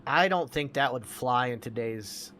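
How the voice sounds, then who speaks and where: A man's voice: medium pitch, animated, measured pace, crowd noise. A man in his forties chatting casually as a podcast co-host, opinionated and emphatic, repeating himself to make a point. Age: 40s. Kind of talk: casual chat about movies.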